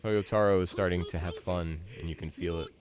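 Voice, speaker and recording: A man's voice: deep voice, steady tone, a little fast, a crowd in the background. A man in his thirties giving casual, musing commentary as a game streamer, sharing a fan's opinions. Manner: musing, opinionated fan chat. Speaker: streamer, podcast host.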